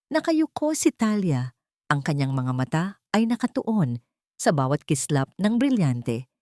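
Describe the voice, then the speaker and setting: A woman with a fairly low voice narrates a dramatic audiobook. Her reading is scripted, solemn and full of suspense. The recording is very dry, with no room sound. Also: darkish tone, no room sound.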